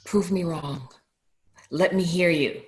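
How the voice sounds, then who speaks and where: A woman's voice: fairly low pitch, darkish tone. A woman acting a tough doctor who pushes and challenges like a coach, in a table read. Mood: urgent, challenging. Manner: challenging, insistent, measured.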